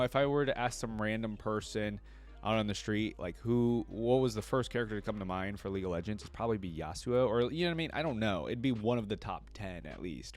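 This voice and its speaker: A man hosting a reaction video, chatting informally and speaking straight to his viewers. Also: on the low side. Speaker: reaction video host and streamer. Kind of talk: casual reaction commentary.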